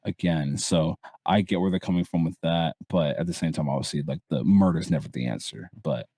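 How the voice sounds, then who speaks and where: A man's voice: extremely low pitch, a little fast, warm tone, husky, dry recording, clean studio sound. A man in his early twenties from Barbados, a guest chatting casually, rambling with restarts and casual swearing. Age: early 20s. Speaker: guest content creator. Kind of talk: casual podcast chat.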